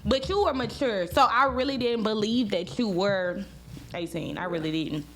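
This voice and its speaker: A woman's voice: medium pitch, normal speed, fairly bright tone. A woman in her late twenties chatting informally and teasingly as a podcast host, telling stories and bantering. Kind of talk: casual couple banter and storytelling. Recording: clearly noisy with a hum.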